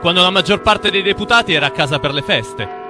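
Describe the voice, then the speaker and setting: A man delivering dramatic scripted narration for an animated film. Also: high-pitched, fast, music in the background.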